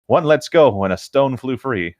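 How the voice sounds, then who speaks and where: Male co-host, mid pitch with animated delivery, amused and playful, reading amateur fiction aloud with casual comments. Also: moderate pace, dry recording, studio quality.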